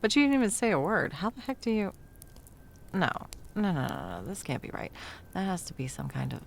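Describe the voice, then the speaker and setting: A woman playing a sarcastic, self-doubting, hesitant Christmas elf in an intimate ASMR audio roleplay, with a creaky voice. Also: lowish voice, big pitch swings, a little fast, a little hiss or hum. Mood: flustered, sarcastic.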